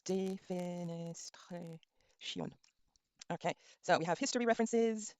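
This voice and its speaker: A woman's voice: lowish voice, measured pace, darkish tone. Chatty woman teaching a live online lesson. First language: Norwegian. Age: middle-aged. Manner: chatty, spells words letter by letter, frequent ums. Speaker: language teacher streaming a class. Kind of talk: casual online lesson, thinking aloud while browsing.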